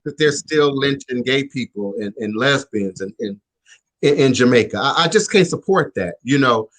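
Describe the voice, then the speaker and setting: A man's voice: mid-range voice, expressive, moderate pace, fairly bright tone, low-quality recording. A man hosting a radio show, talking reflectively in casual chat with frequent stammered restarts and "you know".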